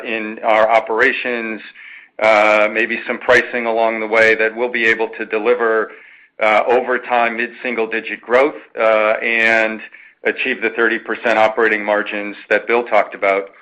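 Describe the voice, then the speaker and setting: A man speaks as a finance executive on an earnings call. His tone is bright and forceful, yet the pitch stays very flat. He answers in measured corporate phrasing, often pausing and repeating words as he builds each point. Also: poor audio. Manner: measured, hesitant with frequent pauses and repeats. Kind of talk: answering an analyst's question, semi-formal corporate talk.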